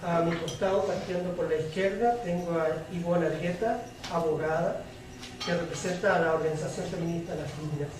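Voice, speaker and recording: A man speaking formally and in a measured way as the moderator of a public panel debate. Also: a little high, fairly even intonation. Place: large echoey room at a public event. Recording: echoey hall, distant mic, faint noise.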